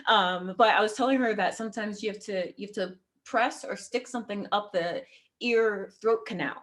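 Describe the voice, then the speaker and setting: Woman, podcast host, casual chat, bubbly, giggly, animated, mid-pitched voice. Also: normal speed.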